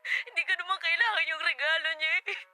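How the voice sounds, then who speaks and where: A woman's voice: very high voice, brisk, sharp tone, soft background music, full character voice. A woman with a Filipino accent playing a hurt, hot-tempered young girlfriend in a melodramatic Tagalog radio drama. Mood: heartbroken. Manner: melodramatic, emphatic, repeats phrases.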